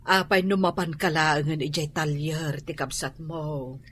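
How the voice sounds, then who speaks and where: A woman's voice: sits low, fairly even intonation, unhurried, fairly bright tone, breathy edge, no echo, full character voice. A woman with an Ilocano accent acting a worried, protective mother, fretful and sighing, in a radio drama. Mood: soft, anxious. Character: worried, protective mother standing up for her child.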